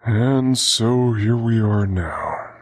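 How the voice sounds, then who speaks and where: A woman voicing a weary, gloomy male skeleton scientist in a cartoon comic dub, husky, measured and formal. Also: a bass voice, lively intonation, darkish tone, heavily acted. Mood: polite, subdued. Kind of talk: fan comic dub acting.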